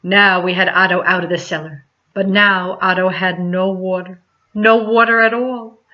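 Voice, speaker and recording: A woman's voice: fairly low pitch, steady tone, moderate pace. A woman reading a children's story aloud in a dramatic, emphatic storytelling manner, like a teacher to a class. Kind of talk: expressive picture-book read-aloud. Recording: dull lo-fi sound.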